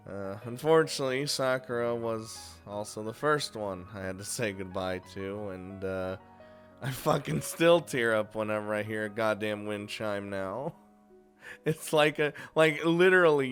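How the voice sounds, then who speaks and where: Male gaming YouTuber, mid-pitched and unhurried, informal and sweary commentary over faint guitar music. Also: fairly bright tone. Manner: informal, chuckling, frequent swearing. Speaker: video game YouTuber hosting a top five list. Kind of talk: casual video commentary on visual novels.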